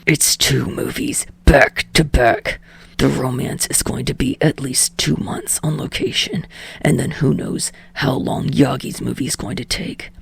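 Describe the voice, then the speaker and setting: A sweary, brooding young man, put on by a woman reader growls low with a heavy gravelly rasp. The voice is husky and breathy, often sinking near a whisper, and sounds close and dry. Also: fairly even intonation, unhurried, no room sound, heavily acted. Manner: growls and curses through clenched teeth. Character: gruff, brooding young male actor, sweary. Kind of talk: podfic reading.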